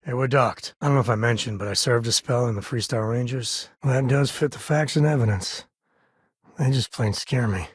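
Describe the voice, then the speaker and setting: Male actor playing wry American space ranger, very husky gravelly voice, folksy drawl, easygoing, middle-aged, video game dialogue, close dry recording. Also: mid-range voice, moderate pace, no room sound, close to an everyday voice. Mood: matter-of-fact. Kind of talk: video game voice acting, naturalistic dialogue lines.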